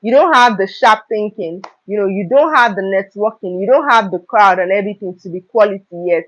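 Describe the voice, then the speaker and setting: Young woman, slightly hoarse, earnest motivational talk, mid-pitched voice, dull lo-fi live stream sound. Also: fairly quick. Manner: earnest, repeats "okay?" and "right?" to check in with listeners.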